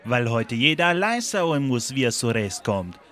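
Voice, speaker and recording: A man's voice: mid-range voice, sing-song, moderate pace, pushed and bright. A man playing an indignant, worldly-wise talking banana in a political radio play, lecturing emphatically and repeating words for effect. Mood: lecturing, outraged.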